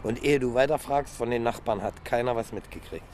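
An actor playing a precise, fact-loving police detective in a radio crime drama, with a matter-of-fact, pedantic manner. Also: male voice, medium pitch, brisk, a little mellow, slight background noise, lightly acted. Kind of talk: naturalistic German radio crime drama acting.

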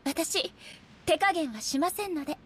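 An actress voicing a gentle, polite, earnest female warrior deity in a Japanese video game, softly spoken and sometimes breathy. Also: extremely high pitch, expressive, unhurried, full character voice. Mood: gentle, candid. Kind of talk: Japanese game character voice acting.

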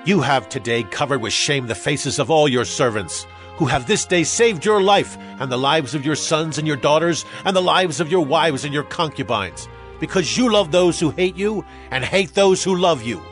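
Stern army commander, highish voice. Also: male voice, expressive, normal speed, faint music behind. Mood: stern, indignant. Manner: forceful, reproachful. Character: stern, blunt military commander rebuking his king. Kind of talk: dramatized scripture audio drama.

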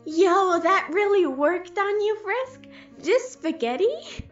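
A woman's voice: extremely high pitch, lively intonation, slow pace, soft background music, exaggerated voice. A woman playing a casual, unsure young monster kid in a fan audio drama, slangy and hesitant, on a dull lo-fi mic. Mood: curious, confused. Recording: dull lo-fi microphone.

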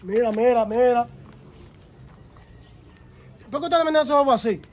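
A man's voice: extremely high pitch, normal speed, over a noisy crowd. A man playing a hungry brash bully who harasses a young egg seller. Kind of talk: amateur street drama acting.

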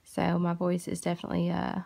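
A woman hosting a crochet tutorial, talking softly and unhurriedly in a gravelly voice, having just woken up. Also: fairly low pitch, completely flat delivery. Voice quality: gravelly morning voice. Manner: soft-spoken, unhurried with fillers. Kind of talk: casual tutorial chat while crocheting.

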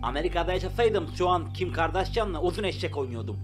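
A man voicing an aggrieved, self-righteous young YouTube star in an animated comedy, speaking in a preachy way. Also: high-pitched, fast. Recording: music behind the voice.

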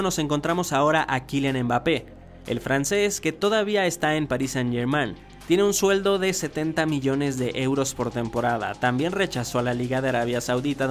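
A man in his thirties narrating a scripted football countdown as an online video host, with emphatic presenter-like delivery. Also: mid-range voice. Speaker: YouTube host. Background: background music.

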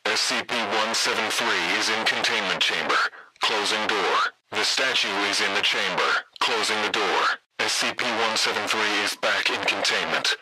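A terse containment guard acted by a man calls out procedure for a game. His voice is on the low side and alert. Heavy noise covers the radio transmission. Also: normal speed, natural voice. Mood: focused, businesslike. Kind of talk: clipped radio call-outs.

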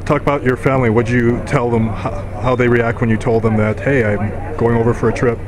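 A man's voice: medium pitch, level tone, fairly quick. A man interviewing a player after a game, asking long, rambling, casual questions full of fillers. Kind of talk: post-game sports interview. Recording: crowded mixed zone chatter.

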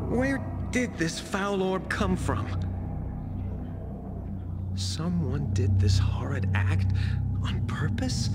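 Solemn, high-voiced temple priest. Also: male voice. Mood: relieved, horrified. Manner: earnest, formal, pleading. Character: anxious, solemn temple priest in a fantasy town. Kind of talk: fantasy video game voice acting.

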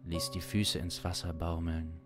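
A man in his thirties improvising a crime story as narrator, deliberate and suspenseful, with long pauses, close on a studio mic. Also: low voice, completely flat delivery. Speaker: improvising audio-drama narrator. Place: small studio room. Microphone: close studio condenser mic. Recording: music under the voice. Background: instrumental music.

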